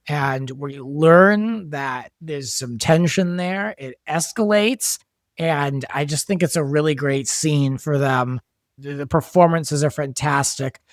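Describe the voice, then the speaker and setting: A man's voice: fairly high pitch, dry recording, studio quality. A man talking casually as a writer and director, discussing a drama production. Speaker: podcast guest, audio soap writer and director.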